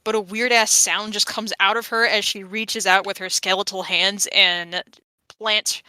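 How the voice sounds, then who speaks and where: A woman's voice: mid-range voice, fairly even intonation, measured pace, bright, forceful tone, smooth, dry recording, rough recording. A woman playing a tabletop game, casually and playfully describing her character's moves in slangy, vivid terms.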